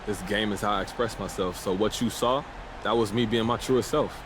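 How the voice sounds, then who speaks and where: An actor playing a cocky, defiant young American basketball star, casual and boastful in a sports game's post-game interviews. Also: male voice, fairly low pitch, fairly even intonation, a little fast, background noise, close to an everyday voice. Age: young adult. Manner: boastful, self-assured, casual.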